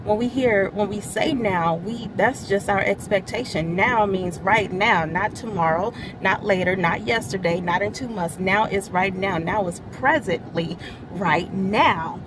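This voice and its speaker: An earnest woman with a mid-range voice gives a short devotional teaching and leans on each key word as she builds. She was recorded outdoors, with clear street and vehicle noise behind her. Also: medium pitch, measured pace. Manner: earnest, stresses key words and grows louder as she explains scripture; laughs lightly between remarks. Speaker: church media ministry overseer.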